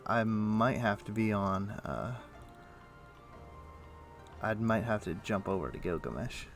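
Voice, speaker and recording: Low, gravelly male game streamer. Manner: halting, muttering to himself, thinking aloud. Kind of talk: casual live-stream chat while playing an online game. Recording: faint music behind the voice. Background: faint music.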